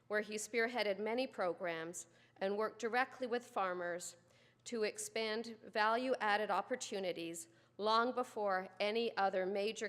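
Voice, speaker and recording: A woman reads a formal ceremonial citation in a steady, middle-pitched voice. Her delivery is composed and formal. A long reverb from a large hall follows every phrase. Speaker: dean presenting an honorary degree.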